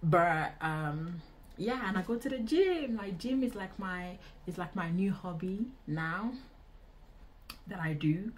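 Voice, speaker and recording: Low-voiced young Nigerian woman vlogger. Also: animated, takes it slowly, a little hiss or hum. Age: 20s. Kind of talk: casual Q&A chat to camera. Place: small indoor room.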